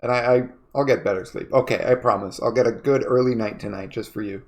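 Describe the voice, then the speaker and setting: A young man with a raspy, sniffly voice, chatting casually to his viewers while streaming a video game. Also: medium pitch, steady tone, fast. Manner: chatty, reading chat aloud. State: sniffly, coughing. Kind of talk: casual chat while gaming. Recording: game music behind the voice. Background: video game music.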